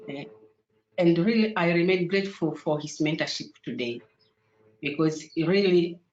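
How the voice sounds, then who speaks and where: A woman's voice: on the low side, moderate pace, darkish tone. A woman from Tanzania whose first language is Sukuma, speaking as a guest on an online panel, with hesitant speech full of fillers and restarts. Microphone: video call. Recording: roomy, dull video call audio.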